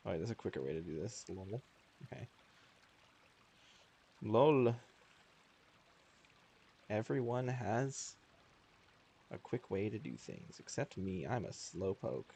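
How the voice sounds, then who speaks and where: A man's voice: fairly low pitch, expressive, slow pace, long pauses, dark tone, a little hiss or hum. A man livestreaming a video game in casual, slangy, joking and self-deprecating chat. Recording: game music behind the voice. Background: video game music.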